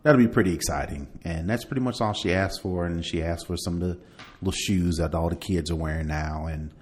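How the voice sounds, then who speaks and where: A middle-aged American man in casual podcast conversation, co-hosting with a halting, laid-back delivery. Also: low-pitched. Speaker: podcast co-host. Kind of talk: casual chat.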